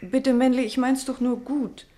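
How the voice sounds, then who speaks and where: An actress in a German radio play, playing a caring, coaxing lover who speaks in a solicitous, intimate way. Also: female voice, sits high, steady tone, moderate pace, dark tone, natural voice. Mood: pleading, reproachful. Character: caring, coaxing female lover of a troubled writer. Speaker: radio drama actor.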